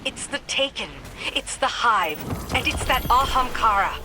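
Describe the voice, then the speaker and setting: An actress playing a resolute but weary military commander in a video game, brisk, serious and businesslike. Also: female voice, fairly high pitch, expressive, background noise. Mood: frustrated. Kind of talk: video game cutscene acting.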